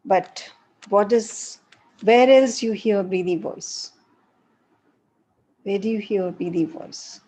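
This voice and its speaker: A middle-aged woman professor with an Indian English accent and a breathy voice, answering student questions in a live online session with frequent hesitations. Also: mid-range voice, slow pace, long pauses, dark tone, low-quality recording. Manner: explanatory, repeats points with hesitations.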